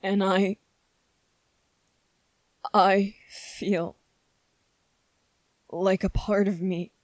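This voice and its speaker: A woman playing a gentle, anxious young prince in an ASMR roleplay, speaking in a hesitant, earnest and pleading manner. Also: mid-range voice, little pitch movement, very slow, dry recording. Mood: tender, devoted. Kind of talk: ASMR roleplay, scripted character acting.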